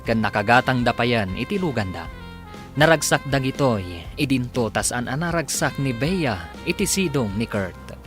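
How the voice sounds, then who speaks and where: A man's voice: medium pitch, lively intonation, moderate pace. A man narrating a radio drama as its announcer, reading a scripted introduction. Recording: music under the voice. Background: music.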